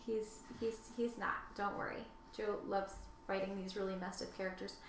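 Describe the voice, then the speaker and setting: A woman's voice: mid-range voice, little pitch movement, normal speed. A woman co-hosting in a casual, chatty way. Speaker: co-host. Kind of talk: casual chat. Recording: roomy, echoing, with background noise.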